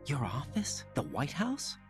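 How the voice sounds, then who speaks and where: Terse, skeptical young soldier. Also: male voice, a little high, wildly swooping pitch, a little slow, music in the background. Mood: skeptical, probing. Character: wary, questioning young male soldier. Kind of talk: dramatic video game cutscene acting.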